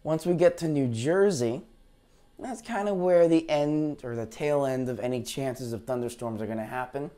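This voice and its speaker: A man with a tired, low voice after heavy talking, hosting a live weather stream in a chatty, rambling way, talking into a lavalier mic. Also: normal speed, warm tone. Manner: chatty, rambling, unsure asides. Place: green screen studio, indoors. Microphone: lavalier clip mic.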